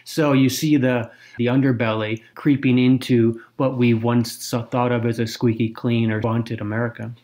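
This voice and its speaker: A man's voice: medium pitch, flat delivery, moderate pace, few pauses, a little mellow. Man explaining things on a podcast. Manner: fluent, explanatory, with some fillers. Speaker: podcast co-host. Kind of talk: casual podcast conversation.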